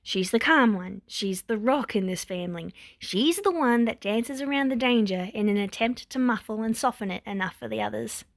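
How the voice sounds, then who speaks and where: A woman reading a story aloud as narrator, in expressive scripted narration. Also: fairly high pitch, moderate pace, hardly any pauses, no echo, studio quality. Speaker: fan fiction narrator.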